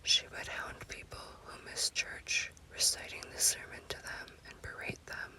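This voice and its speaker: A woman narrates a scary story as ASMR in an unvoiced, breathy whisper, in deliberate short phrases. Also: sharp tone. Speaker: storyteller, host.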